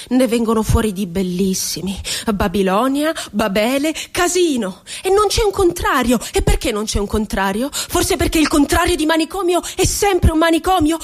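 An actress playing an ironic, wounded woman poet confined in an asylum, telling her story expressively in a radio theatre monologue. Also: high-pitched. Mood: agitated, sardonic.

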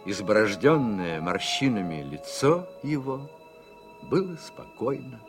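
A man with a husky voice narrating a radio play in a dramatic, scripted storytelling style. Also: fairly low pitch, sing-song, measured pace, music in the background.

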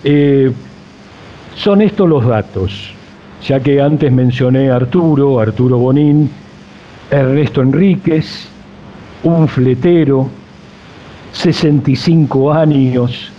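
A man with an Argentine accent, a radio interviewer speaking in short, halting phrases with pauses. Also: warm tone. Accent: Argentine Spanish. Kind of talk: unhurried interview talk. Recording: muffled, low-fi with faint noise.

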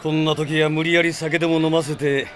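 Rough, caring male mercenary, played by an actor, slightly high register, wry and offhand, radio drama. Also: level tone, fairly quick, slight background noise, lightly acted. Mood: serious, concerned. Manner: blunt, casual, wry. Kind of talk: Japanese radio drama acting.

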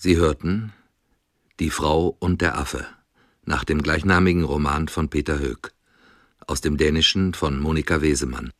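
A man reading radio drama credits as an announcer, in a formal, deliberate manner. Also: rumbling low, no room sound, studio quality.

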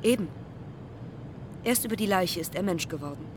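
An actress in a radio play as an earnest, insistent young woman with deliberate, precise phrasing. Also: fairly low pitch, lively intonation, lightly acted. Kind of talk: German radio play dialogue and recitation. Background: music.

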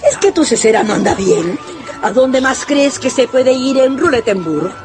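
Imperious old grandmother, wildly animated. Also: high-pitched, normal speed, over music. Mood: imperious, eager. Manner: haughty, mocking, commanding. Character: imperious, sharp-tongued, rich old Russian grandmother. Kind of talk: radio drama acting.

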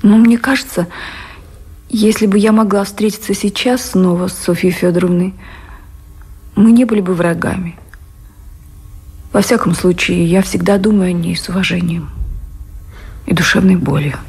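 An actress in a Russian radio drama playing a tender, wistful woman, speaking gently and intimately. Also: lowish voice, normal speed, long pauses, breathy edge. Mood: wistful, earnest. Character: tender, wistful, devoted woman, admiral's beloved.

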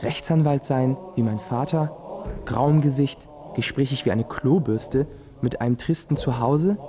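A man's voice: mid-range voice, a little slow, crowd noise, natural voice. An actor playing a curious, earnest teenage boy in a radio drama, with a questioning manner. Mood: earnest, musing.

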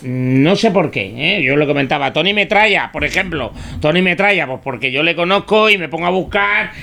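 A man with a Madrid Spanish accent chats on a radio show, exclaiming and swearing freely as he goes. His voice sits a little high and sounds bright and forceful. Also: animated, fairly quick, gravelly. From Madrid, Spain. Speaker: radio presenter guest. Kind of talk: casual radio chat.

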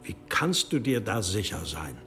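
Medieval abbot: authoritative, menacing, curt, expressive range, for radio drama. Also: male voice, on the low side, unhurried, creaky, faint music behind, heavily acted. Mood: grave, commanding. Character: stern, commanding medieval abbot planning exorcism. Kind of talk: German audio drama acting.

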